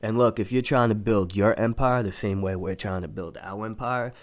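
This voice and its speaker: A man's voice: on the low side, moderate pace. A young man co-hosting a casual podcast, slightly nasal, giving emphatic motivational advice about work and sales. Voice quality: a little nasal. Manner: motivational, emphatic, repeats key words. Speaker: podcast co-host.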